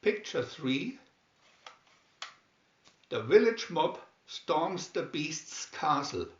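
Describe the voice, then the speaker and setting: A man narrates a storybook in a deliberate, announcing way. His fairly high voice moves up and down in a lively manner, and the recording is slightly dull and roomy. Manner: deliberate, announcing each caption. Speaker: narrator reading a picture-reel booklet.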